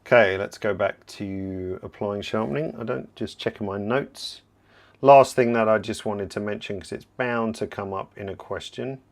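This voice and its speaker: A man with a somewhat low voice leads a live software lesson, explaining each step as he clicks through it. He sounds relaxed and a little hoarse, clearing his throat from time to time. His delivery is conversational, with frequent asides as he fields questions. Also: normal speed. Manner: relaxed, explanatory, thinking aloud while working. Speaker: software trainer hosting a livestream. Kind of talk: live software tutorial with viewer Q&A.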